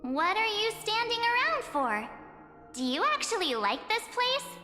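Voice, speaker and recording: A woman's voice: very high-pitched, big pitch swings, unhurried, exaggerated voice. A woman voicing a perky, playful teenage girl in a video game, chatty, bubbly and chummy. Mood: impatient, coaxing. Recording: echoing hall reverb with music.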